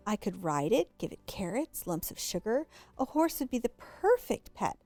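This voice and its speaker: A woman's voice: mid-range voice, sing-song, normal speed, dark tone, soft background music, natural voice. A woman voicing an eager little girl pleading for a pet in a storybook.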